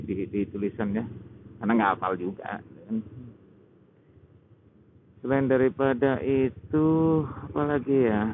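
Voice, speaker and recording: An Indonesian man giving a casual, unscripted gear review while riding a motorcycle, halting often as he searches for words. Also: medium pitch. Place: outdoors on a motorcycle in traffic. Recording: tinny phone-like helmet intercom, loud road noise. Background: loud vehicle and road noise.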